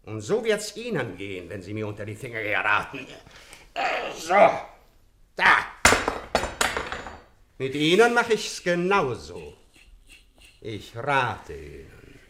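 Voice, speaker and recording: Blustering, menacing man, wildly swinging pitch. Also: huge pitch swings, slow pace, pauses often, bright, forceful tone, full character voice. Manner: threatening, insulting, emphatic. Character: menacing, blustering, hot-tempered English doctor and stepfather. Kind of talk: radio play acting.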